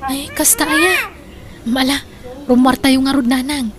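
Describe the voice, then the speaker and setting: A woman with an Ilocano accent playing a gentle, dutiful young adult daughter in a radio drama, respectful and soft-spoken. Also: high-pitched, sing-song, unhurried. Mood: affectionate. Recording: clear background noise.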